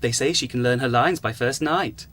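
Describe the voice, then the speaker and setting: A man's voice: mid-range voice, moderate pace, fairly bright tone, a little hiss or hum, natural voice. A man playing a breezily confident amateur theatre director in a comic radio sketch, reassuring and optimistic.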